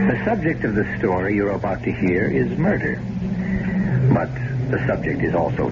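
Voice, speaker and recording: A man hosting a radio mystery, giving an ominous scripted introduction in a deliberate, theatrical manner. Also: lowish voice. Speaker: host and announcer. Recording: muffled vintage radio, prominent music behind.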